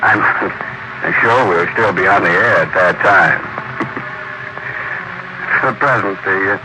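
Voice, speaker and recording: An actor playing a ghoulish horror-show host in 1940s radio style, mock-polite and sardonic. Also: male voice, deep voice, slow. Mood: sardonic, gleeful. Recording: noisy old radio broadcast recording.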